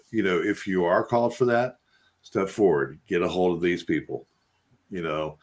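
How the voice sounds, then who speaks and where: A man from West Virginia hosting a spiritual podcast, talking in an earnest, rambling, preachy way. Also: low voice. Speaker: host. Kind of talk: casual spiritual talk on a radio podcast.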